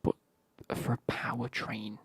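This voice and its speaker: A man's voice: medium pitch, completely flat delivery, unhurried, fairly bright tone, slightly rough, no room sound. A man, whispering as he banters with his live stream chat and swears now and then.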